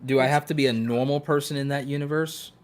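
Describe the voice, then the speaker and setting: A man's voice: medium pitch, fairly even intonation, fast talker. Guy podcast cohost chatting casually, opinionated and emphatic, repeats himself to make a point. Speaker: podcast co-host.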